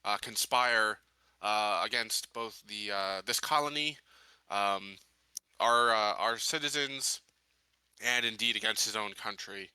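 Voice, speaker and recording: A man playing a tabletop game, improvising in character with hesitant, measured phrasing. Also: lowish voice, pushed and bright, clear, resonant tone, no room sound. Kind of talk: improvised in-character speech and casual chat.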